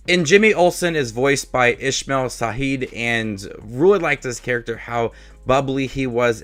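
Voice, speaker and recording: A man's voice: fairly low pitch, fairly bright tone. A man giving a casual, upbeat review straight to his viewers as a YouTube host.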